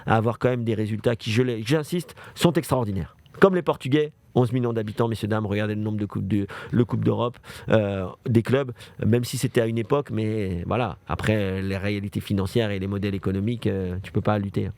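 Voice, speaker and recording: A man's voice: lowish voice, fairly even intonation, fairly quick, vocal fry, hardly any pauses, darkish tone, dry recording. A man in his thirties from France, a football show presenter, telling stories in casual talk and repeating phrases for emphasis. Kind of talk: casual chat on a livestream.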